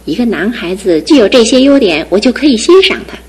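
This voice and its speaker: A woman's voice: fairly high pitch, animated, a little mellow, slightly rough. An actress voicing an independent, teasing young female student about to graduate, in a studio radio drama. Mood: tender earnest. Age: young adult. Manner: teasing and brisk, then tender. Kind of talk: 1960s-70s studio radio drama acting.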